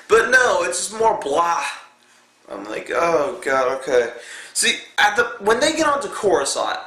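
A man's voice: sits high, pushed and bright, roomy sound. A man in his twenties giving a casual, profane movie review, blunt and exasperated. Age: 20s. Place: indoor room in front of a dark backdrop.

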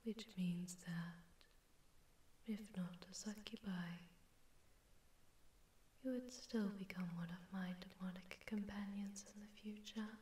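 Woman acting a demon temptress, ASMR roleplay, breathy whisper, soft dark tone, condescending flirtation, echoing distortion, faint hiss. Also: mid-range voice, fairly even intonation. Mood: flirtatious, intrigued. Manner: teasing, slow, flirtatious, condescending. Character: seductive, amused female sleep paralysis demon, haughty predator. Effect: echoing distortion effect.

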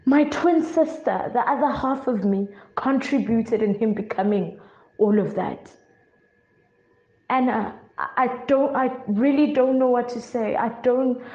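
A woman playing a guilty twin sister in a melodrama, halting and apologetic as she pleads for forgiveness. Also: on the high side, dark tone. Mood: apologetic, pleading. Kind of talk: melodramatic audio-drama acting. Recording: echoey room, slightly muffled.

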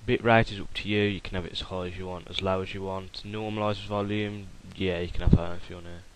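Low-voiced young man explaining software. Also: level tone, quick pace, heavy background noise. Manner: rambling, informal, with many fillers and restarts. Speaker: software tutorial host. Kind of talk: casual screen-recorded tutorial.

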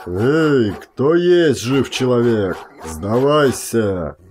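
A man voicing a blustering former policeman turned forest guard in an audiobook, with a folksy, loud, grumbling manner. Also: medium pitch, sing-song, extremely slow, a little hiss or hum. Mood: challenging.

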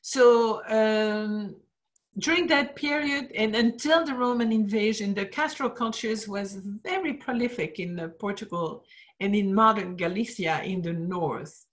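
A woman of middle pitch lecturing over a slideshow, unhurried and explanatory. She pauses often on "uh" while searching for words, and her voice drops away at the ends of phrases. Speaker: art history lecturer. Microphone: screen-share talk, webcam in corner.

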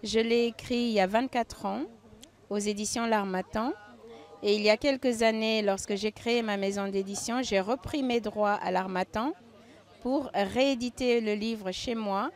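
A middle-aged woman author from Cape Verde answers interview questions outdoors. She explains things calmly and plainly. She holds a handheld mic close. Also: mid-range voice, moderate pace. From Cape Verde, raised in Senegal. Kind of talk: interview conversation. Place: outdoor festival under a tent.

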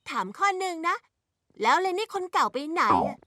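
A woman's voice: extremely high pitch, sing-song, moderate pace, sharp tone, no echo, studio quality, full character voice. A woman voicing a sweet, naive and easygoing teenage girl in a Thai cartoon dub, airy and agreeable. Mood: eager. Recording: music behind the voice.

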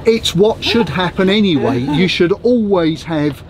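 A man instructing a family at the helm of a boat, calm, direct and practical. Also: high-pitched, measured pace, a loud crowd. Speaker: sailing instructor. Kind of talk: instructing on deck. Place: outdoors on a boat in a marina.

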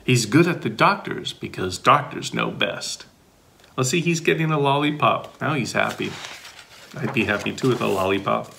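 A man's voice: mid-range voice, moderate pace, creaky, pushed and bright, slight background noise. A middle-aged man reading a children's picture book aloud expressively, with sing-song questions and playful asides. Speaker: volunteer storybook reader.

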